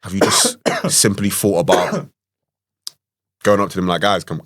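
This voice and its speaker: A young man co-hosts a casual podcast. His voice sits low and he tells his story in a chatty, animated way, full of "like" and "you know what I mean". The sound is close and dry, with no room around it. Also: moderate pace, no room sound. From Ireland. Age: 20s. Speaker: podcast co-host.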